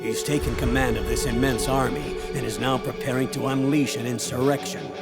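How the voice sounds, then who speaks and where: A male actor playing an earnest older colonel, giving a solemn, authoritative mission briefing in a video game with a gravelly edge. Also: medium pitch, steady tone, brisk, loud music behind the voice, lightly acted.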